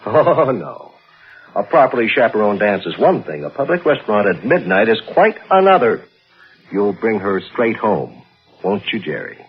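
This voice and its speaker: A dull vintage radio recording with music behind presents a suspicious, protective American father. He is middle-aged, dryly wry and formal, with a low voice that swings expressively, as in 1960s radio drama. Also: very animated, moderate pace. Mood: stern, suspicious. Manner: dry, wry, formal wording. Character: stern, protective, suspicious middle-aged father narrating his own story. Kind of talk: 1960s radio drama acting with first-person narration.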